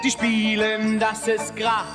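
A woman's voice: on the high side, completely flat delivery, takes it slowly. A woman singing a children's pop song. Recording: long reverb over music.

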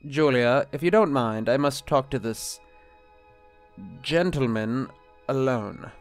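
A man's voice: medium pitch, slow pace, faint music behind, close to an everyday voice. Man acting a flustered, skeptical bishop. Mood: flustered, nervous. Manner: flustered, hesitant, formal. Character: anxious, exasperated, skeptical married male bishop. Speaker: actor. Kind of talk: radio-play style drama acting.

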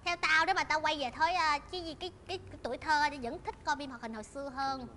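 A woman's voice: high-pitched, steady tone, racing, a little hiss or hum. A woman in her twenties with a Southern Vietnamese accent, chatting casually and playfully as a talk show guest. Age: 20s. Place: large indoor studio set of an old shop. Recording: loud music behind the talk.

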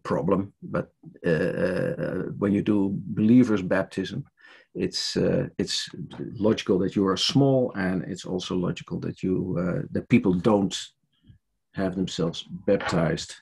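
Slow, gravelly older Dutch-accented man. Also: deep voice, steady tone, a little mellow. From Netherlands. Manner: hesitant, many fillers and restarts. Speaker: church leader interviewee. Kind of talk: interview on a video call. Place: home study with bookshelves. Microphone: headset on a video call.

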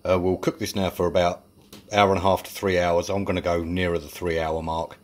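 Male home cook, lowish voice, easygoing self-mocking explainer, filmed in a small kitchen. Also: level tone, a little fast. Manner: chatty, self-mocking, explains each step. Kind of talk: casual cooking narration.

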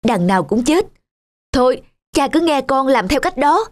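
A woman's voice: sits high, sing-song, normal speed. A woman voicing a devoted young son in a cartoon folktale, speaking in an earnest, pleading, deferential way. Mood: pleading, determined.

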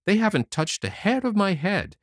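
A man doing a cocky, high-voiced little tailor. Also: wildly swooping pitch, normal speed, no echo, clean studio sound, heavily acted. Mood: boastful. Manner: cocky and bragging. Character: boastful plucky little male tailor.

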